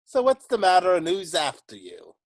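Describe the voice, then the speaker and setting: A man's voice: high-pitched, huge pitch swings, moderate pace, no room sound, exaggerated voice. A man playing a cheerful, kindly London chimney sweep in an amateur musical read-through, folksy and reassuring. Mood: cheerful, friendly.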